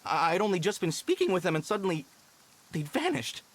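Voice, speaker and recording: A nervous, shaken miner. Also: male voice, sits high, sing-song, brisk. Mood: frightened. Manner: nervous, shaky, pleading. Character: frightened working-class male miner. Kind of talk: video game voice acting.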